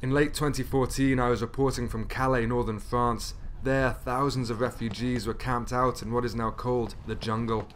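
A man reporting from a refugee camp near a border, narrating and interviewing in a measured, serious tone. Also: mid-range voice, flat delivery, slight background noise.

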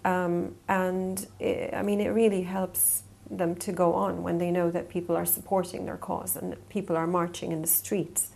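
A woman's voice: on the low side, level tone, moderate pace, creaky, few pauses, a little mellow. A woman from Jerusalem answering personally in an interview, reflective and hesitant in her phrasing. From born in Jerusalem, Palestinian, later emigrated.

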